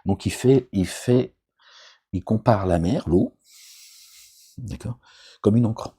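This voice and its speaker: A man's voice: fairly low pitch, takes it slowly, dark tone, breathy edge. A middle-aged man giving a rambling, informal religious commentary, often checking in with his listeners and adding joking asides. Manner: digressive, asks "d'accord?" often, jokey asides.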